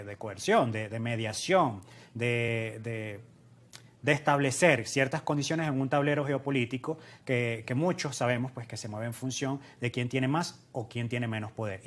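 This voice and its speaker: A man speaking as an economist and interview guest, giving explanatory analysis and repeating phrases in lists for emphasis. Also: medium pitch.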